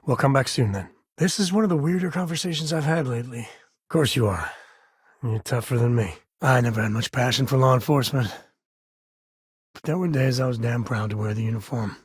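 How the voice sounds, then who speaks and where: Male actor as rugged spacefaring ex-lawman for a game: very raspy, folksy and wry, principled, dry close sound. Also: medium pitch, fairly quick, no echo, natural voice. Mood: wry, easygoing. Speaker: video game companion character. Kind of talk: video game voice acting, naturalistic dialogue.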